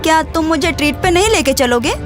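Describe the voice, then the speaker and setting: A woman performs a playful young woman in an audio serial. Her voice is extremely high and she talks in a nosy, insistent, coy way. Light music plays underneath. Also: animated, a little fast. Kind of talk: Hindi audio serial acting.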